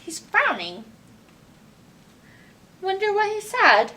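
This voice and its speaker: A woman reading a picture book aloud to children as a library storyteller, expressive and often putting questions to her listeners. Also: very high-pitched, slow pace. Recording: distant mic with background noise.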